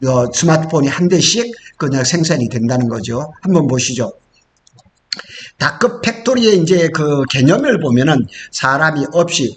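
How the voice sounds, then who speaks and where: A man's voice: fairly high pitch, slight background noise. A man presenting industry news in an informal explanatory talk, stopping and starting, repeating key phrases and correcting himself.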